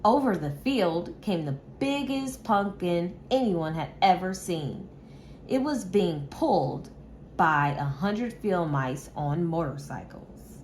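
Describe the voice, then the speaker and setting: A woman reads a picture book to young children at a very slow, deliberate pace. Her voice sits a little low for a woman, and her delivery is expressive and measured. There is clear background noise in the recording. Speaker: teacher reading a picture book aloud. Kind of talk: children's story read-aloud.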